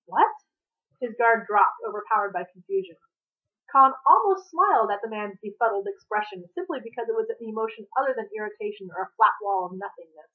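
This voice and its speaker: A woman's voice: medium pitch, animated, fast talker, phone-quality sound. A woman reading fiction aloud as a narrator, in the manner of an author reading her own novel. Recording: thin, band-limited home recording.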